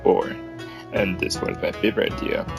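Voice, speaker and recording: A man voicing a seductive, courteous male lover for a fiction reading, gravelly and intimate. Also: moderate pace, close to an everyday voice. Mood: coaxing. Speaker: guest voice actor. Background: plucked guitar music.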